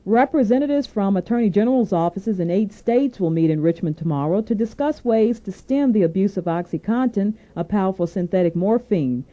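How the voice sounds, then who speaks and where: A woman anchoring a scripted radio newscast, reading in a formal, measured way. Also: mid-range voice, warm tone. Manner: formal, measured newsreading delivery. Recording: narrow tinny AM radio aircheck.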